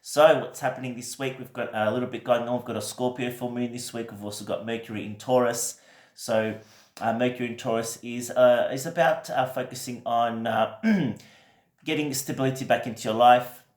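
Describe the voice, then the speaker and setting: A man with a croaky voice from a recent cold, giving a gentle, reassuring weekly tarot reading with many fillers. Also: medium pitch, steady tone, moderate pace, echoey room. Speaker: tarot reader.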